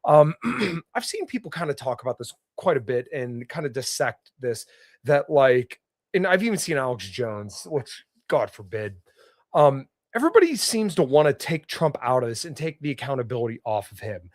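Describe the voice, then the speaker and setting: Man, slightly hoarse, blunt and opinionated, podcast host, dry close sound. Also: mid-range voice, very animated, normal speed, dry recording. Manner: blunt, opinionated, reads news aloud and riffs. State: slightly hoarse, getting over illness. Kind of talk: solo political commentary livestream.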